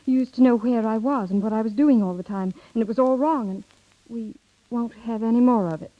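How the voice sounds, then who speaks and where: An actress playing an independent, wistful young wife, tender but firm and hesitant, in a muffled 1930s radio drama. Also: female voice, a little high, natural voice. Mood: wistful, resolute. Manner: hesitant pauses, earnest.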